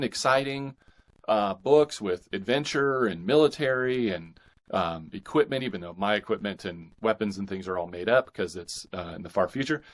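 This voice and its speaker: Male author guest, lower register, conversational interview answers, halting and reflective delivery.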